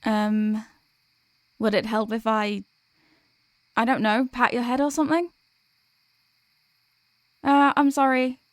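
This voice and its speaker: A woman's voice: on the high side, level tone, slow, pauses often, dry recording. A woman playing a teasing sleep paralysis demon who can be menacing or apologetic and tender, in an intimate ASMR audio roleplay. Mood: flustered, apologetic. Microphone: close microphone, dry.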